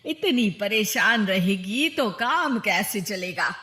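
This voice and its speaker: A woman's voice: mid-range voice, lively intonation, takes it slowly, sharp tone. A woman voicing a bossy middle-aged mother, scolding and emphatic, in a cartoon story. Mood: doting, advising. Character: bossy middle-aged mother, doting on her married daughter.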